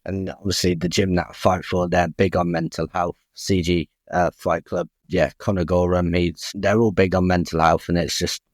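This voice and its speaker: A man's voice: deep voice, little pitch movement, moderate pace, hardly any pauses, no echo, clean studio sound. A young man speaking as a guest boxer in a podcast interview, talking openly and frankly, often starting with an honest aside. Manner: open, frank, chatty, restarts with "I'll be honest".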